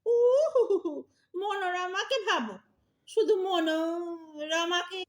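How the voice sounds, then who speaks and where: A woman acting a sharp-tongued elderly wife in an audio drama, sarcastic and nagging but secretly caring. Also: extremely high pitch, very slow, full character voice. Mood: mocking, sarcastic. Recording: echoey room.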